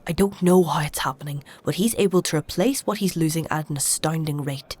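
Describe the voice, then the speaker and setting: Low-voiced, wry alchemist healer. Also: female voice, darkish tone, slightly rough. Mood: earnest, explaining. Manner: measured, explanatory, dryly sardonic. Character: wry, learned female alchemist-healer, stubborn and principled. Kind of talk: dark fantasy audio drama acting.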